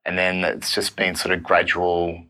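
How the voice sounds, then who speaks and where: Man, thirties, Australian accent, very low pitch, bright forward tone, casual podcast host. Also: monotone, normal speed. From Melbourne, Australia. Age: 30s. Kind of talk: casual conversational interview.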